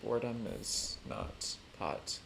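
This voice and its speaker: Guy streaming a game, creaky voice, halting and self-deprecating, thinking out loud, noisy hissy recording. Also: mid-range voice, animated. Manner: rambling, halting, thinking aloud; dry, self-deprecating humour. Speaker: livestreamer playing a building game. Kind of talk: casual gaming stream chat.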